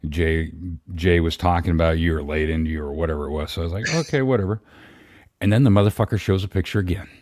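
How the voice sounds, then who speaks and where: A man's voice: low voice, fast talker, a little mellow, dry recording, studio quality. A man chatting casually as a guest on a live stream, telling anecdotes in an informal, salty way.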